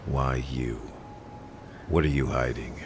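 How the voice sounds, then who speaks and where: A man playing a stern, distrustful vigilante in an audio roleplay, with a gravelly growl and terse, commanding delivery. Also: rumbling low, steady tone, takes it slowly. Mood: stern, urgent. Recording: loud noisy ambience behind the voice.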